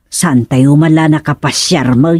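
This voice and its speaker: Drawling, nagging Ilocano village wife. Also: female voice, low-pitched, steady tone, a little slow, a little mellow, husky, no room sound, clean studio sound. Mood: curious, chatty. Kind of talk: Ilocano radio comedy drama acting.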